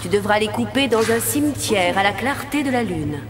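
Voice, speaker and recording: A woman voicing a grave, mysterious fairy queen in an animated fairy tale, speaking slowly and solemnly. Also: mid-range voice, music in the background, lightly acted. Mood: grave warning. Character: fairy queen, formerly kindly old lady, grave and mysterious.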